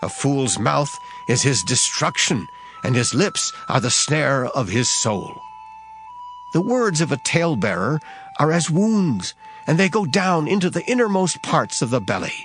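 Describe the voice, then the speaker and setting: A man giving a solemn, measured, expressive dramatized reading of scripture. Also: medium pitch. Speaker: scripture reader. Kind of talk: dramatized Bible audiobook reading. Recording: guitar music behind the voice. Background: plucked guitar music.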